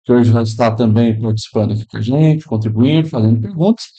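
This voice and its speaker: A man's voice: mid-range voice, quick pace, warm tone, highs cut off. Upbeat man hosting a faith podcast. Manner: upbeat, welcoming, churchy greetings. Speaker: podcast host, pastor. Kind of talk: podcast hosting, warm church greeting. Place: improvised room at a pastors' convention.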